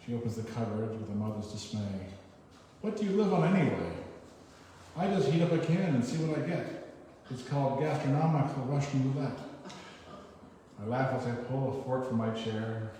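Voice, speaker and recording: A man giving a poetry reading, with informal and somewhat halting spoken introductions. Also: medium pitch, a little mellow. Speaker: poetry reader at an open reading. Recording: very echoey hall, far mic, noisy.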